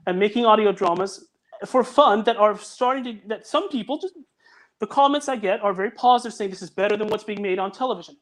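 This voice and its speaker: A man who makes audio dramas, chatting on a video call in an earnest way and often restarting his sentences. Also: sits high. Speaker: audio drama producer and guest. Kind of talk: casual podcast chat.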